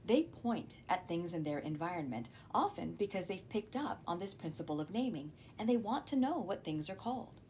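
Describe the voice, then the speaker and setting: A woman narrating a scripted educational video as a teacher, explaining clearly. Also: sing-song, normal speed, few pauses, a little mellow. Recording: noticeable low rumbling background noise.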